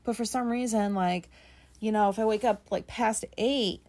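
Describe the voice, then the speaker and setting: A woman in her thirties with a raspy voice, talking casually and rambling on her solo podcast, with many fillers and some swearing. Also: medium pitch, moderate pace, a little mellow. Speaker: podcast host.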